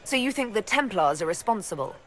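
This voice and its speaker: Casting: a practical, level-headed hero, plain delivery, faint ambience. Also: female voice, medium pitch, big pitch swings, a little fast, a bit bright, slight background noise.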